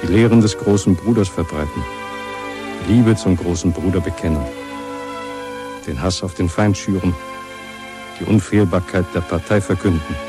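An actor narrating a German dystopian radio drama in a solemn, measured, declamatory way. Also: male voice, low-pitched.

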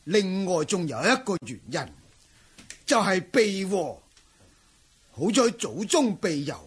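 Husky, animated martial-arts manor master. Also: male voice, high-pitched, heavily acted. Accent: Cantonese. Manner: emphatic, sighing, dramatic. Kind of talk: Cantonese wuxia radio drama acting.

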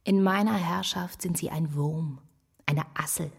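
An actress playing a defiant, imperious sixteen-year-old girl in a radio drama, with a breathy, husky voice. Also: deep voice, measured pace, heavily acted. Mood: haughty, defiant. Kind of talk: intimate modern German radio drama acting.

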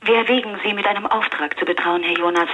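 A woman playing a brisk, formal company secretary in a radio play, coolly polite, through a telephone effect. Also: mid-range voice, fairly even intonation, pushed and bright. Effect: telephone filter. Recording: dull, noisy telephone line.

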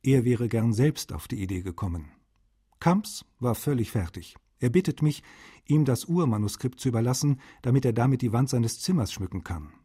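Man, letter reading, husky tone, dark timbre, medium pitch. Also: animated, normal speed.

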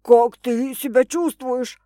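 A woman reader puts on a serious, worried man from a romance audiobook. The voice is lowered and heavy, with slow, halting phrases. The sound is dry and close. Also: warm tone, no echo. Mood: anxious, flustered. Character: gruff, anxious, shocked man in love, expectant father.

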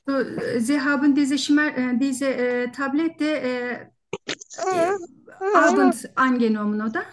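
Hesitant, high-voiced woman with foreign-accented German. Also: slow. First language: not German. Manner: hesitant, searching for words, formal and polite. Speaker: doctor taking a patient history in exam practice. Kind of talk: halting structured medical interview in role-play.

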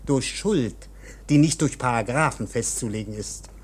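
Man acting husky, officious guard. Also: mid-range voice, moderate pace, lightly acted. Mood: officious, lecturing. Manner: curt, bureaucratic. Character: stern, officious low-ranking guard lecturing the arrested. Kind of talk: German radio drama acting.

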